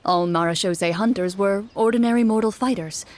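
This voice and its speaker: A woman playing a composed, formal duelist who narrates and explains as game master in a video game, in a measured way. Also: medium pitch, background noise, close to an everyday voice. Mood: calm, explanatory. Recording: clear music behind.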